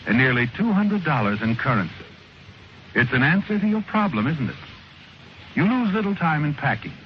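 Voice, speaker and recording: An actor narrating a 1940s radio crime drama as an ominous, mocking storyteller who speaks insinuatingly, straight to the character. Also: male voice, medium pitch, lo-fi sound, lightly acted. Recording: noisy, band-limited old radio recording.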